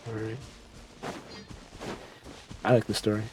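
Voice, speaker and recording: A man's voice: fairly low pitch, monotone, slow, a little mellow, slight background noise, poor audio. A man giving casual, laid-back video game commentary as a streamer, musing as he goes. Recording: game music behind the voice.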